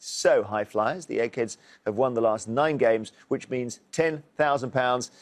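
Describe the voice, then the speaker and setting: A man's voice: medium pitch, a little slow. Man hosting a TV quiz. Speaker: quiz show host. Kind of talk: scripted game show presenting. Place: television studio.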